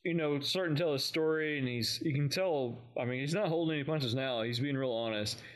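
A man co-hosting a podcast, recapping a story in an informal, conversational and somewhat halting way. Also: medium pitch. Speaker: podcast co-host. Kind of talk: casual recap of an audio drama.

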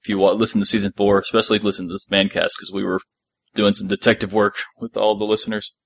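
A man's voice: on the low side, level tone, quick pace, vocal fry, husky, dry recording. A man co-hosting a podcast in a casual discussion, explaining things in detail and thinking aloud. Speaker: podcast co-host.

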